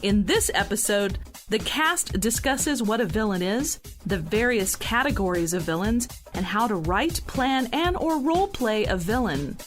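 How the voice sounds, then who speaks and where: A woman's voice: medium pitch, lively intonation, measured pace, faint music behind. A woman hosting a podcast, presenting topics and leading discussion. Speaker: podcast host.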